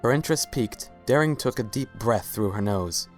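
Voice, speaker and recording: A man's voice: medium pitch, animated, fairly quick. A man giving a scripted dramatic reading as the narrator of a story. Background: faint music.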